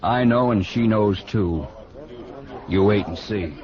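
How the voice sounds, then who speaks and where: An actor playing a stubborn, cocky gunslinger in a 1950s western radio drama. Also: male voice, lowish voice, takes it slowly, over a noisy crowd. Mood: defiant. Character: stubborn, cocky male gunslinger, jilted suitor. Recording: muffled old radio recording.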